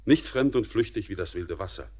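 Stern mining foreman with feeling, low voice, declamatory in classic radio play. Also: lively intonation, brisk, a little mellow. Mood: earnest, poetic. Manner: declamatory, earnest. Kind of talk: poetic German radio play acting.

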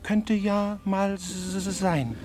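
A woman playing a timid, ponderous beetle with a hesitant, drawn-out delivery in a children's radio drama. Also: mid-range voice, fairly even intonation, lightly acted. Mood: nervous. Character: timid, ponderous beetle missing its sixth leg.

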